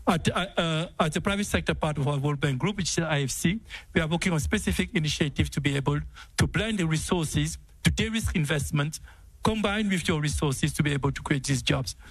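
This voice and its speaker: Senegalese man, husky voice, dry close sound, halting panel answer with repeated words. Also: on the high side, dry recording. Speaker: development bank executive on a panel. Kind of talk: answering an audience question at a town hall.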